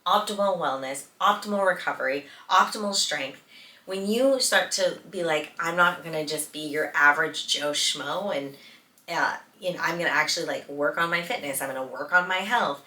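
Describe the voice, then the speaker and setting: Female podcast host, late thirties. Bright, forceful delivery, casual and emphatic, recorded in an echoey room. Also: deep voice, normal speed. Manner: informal, emphatic, repeats words for stress. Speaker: podcast host, physical therapist. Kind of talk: casual solo podcast talk. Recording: roomy echo, distant from mic.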